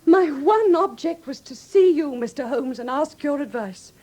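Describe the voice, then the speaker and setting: A woman's voice: high voice, animated, moderate pace, warm tone. An actress playing a frightened, genteel young woman with polite, earnest Received Pronunciation, in a classic radio drama. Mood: fearful, shaken. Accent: English Received Pronunciation.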